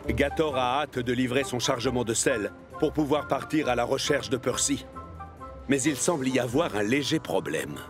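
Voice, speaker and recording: A man's voice: mid-range voice, lively intonation, moderate pace, over music, lightly acted. A man narrating a French-dubbed children's cartoon as a scripted storyteller. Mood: storytelling.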